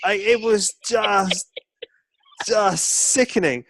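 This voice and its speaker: A man's voice: high-pitched, slow pace, fairly bright tone, no room sound. A man co-hosting a football podcast, chatting casually with emphatic, opinionated and sweary banter.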